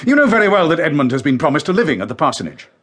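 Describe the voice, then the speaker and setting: A stern baronet and father of middle age in a period radio play. His voice is a little high and moves widely in pitch. He is formal and commanding. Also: big pitch swings, rapid-fire, a bit bright. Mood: stern, exasperated. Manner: authoritative, formal. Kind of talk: period audio drama acting.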